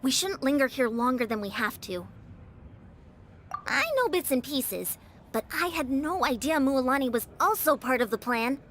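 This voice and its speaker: A woman's voice: high-pitched, lively intonation, normal speed, a bit bright, a little hiss or hum. A woman voicing a practical, level-headed young female companion in a video game, matter-of-fact in delivery.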